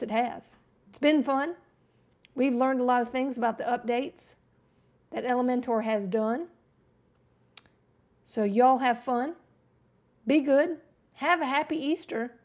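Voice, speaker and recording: A woman hosting a casual web design livestream, chatting folksily as she walks through each step on screen, her voice going hoarse. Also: high-pitched, darkish tone. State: losing her voice. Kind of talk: casual screen-share tutorial chat.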